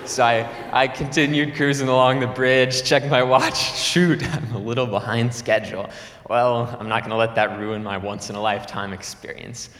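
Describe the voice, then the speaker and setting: A man's voice: medium pitch, steady tone, normal speed, a bit bright. Young man telling a story in an echoey hall. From Nebraska. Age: young adult. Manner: chatty, laughing, full of fillers. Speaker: student speaker. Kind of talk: storytelling speech. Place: lecture hall. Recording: echoey hall with long reverb.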